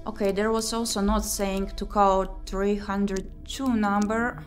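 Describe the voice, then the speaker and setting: Expressive woman reading a game aloud over music. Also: medium pitch, unhurried. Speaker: vtuber streamer playing a visual novel. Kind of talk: game playthrough, reading story text aloud with asides. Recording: music behind the voice.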